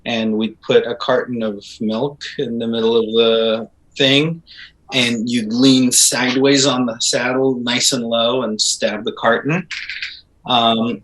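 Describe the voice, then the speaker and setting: A middle-aged man from Israel, a composer and guest, chatting casually in an interview and telling animated stories with fillers. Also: mid-range voice, rough recording. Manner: animated storytelling with laughs and fillers.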